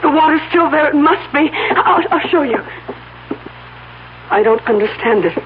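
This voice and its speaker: Frantic young wife, insistent, high voice, agitated, dull old radio recording. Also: female voice, expressive, a little slow, a little hiss or hum. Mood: frantic, bewildered. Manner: agitated, emphatic. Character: distraught, insistent young bride doubting nothing. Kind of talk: 1970s radio drama acting.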